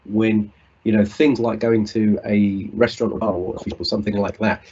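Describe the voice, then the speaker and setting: A man's voice: fairly low pitch, little pitch movement, normal speed, darkish tone, muffled recording, a little hiss or hum. A British man giving an earnest, reflective conference talk over a video call, often restarting his sentences. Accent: British English. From United Kingdom. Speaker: conference speaker.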